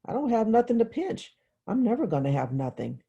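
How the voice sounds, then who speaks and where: A woman's voice: on the high side, very animated, vocal fry, dark tone, husky, muffled recording, voice pushed up, full character voice. A woman reading the part of a naive, impatient little girl who fires off eager questions in a novel. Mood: envious, pouting. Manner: eager rapid-fire questioning. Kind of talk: literary audiobook dialogue.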